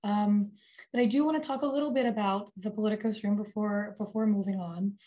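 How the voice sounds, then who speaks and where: A woman with laryngitis, hoarse and apologetic, giving a webinar presentation on a video call. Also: medium pitch, fairly even intonation, fairly quick, dark tone. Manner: apologetic, careful.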